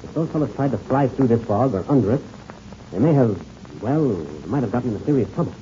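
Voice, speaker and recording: A man playing a steady, fatherly veteran pilot in a 1930s radio serial, folksy in manner, on an old radio recording. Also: mid-range voice, a little fast, muffled recording, a little hiss or hum, natural voice. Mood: worried.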